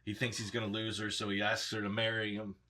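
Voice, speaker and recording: A man's voice: fairly low pitch, completely flat delivery, fast, pushed and bright. A man in his thirties chatting casually as a reaction show co-host, informal and blunt. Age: 30s. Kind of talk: casual chat, episode discussion.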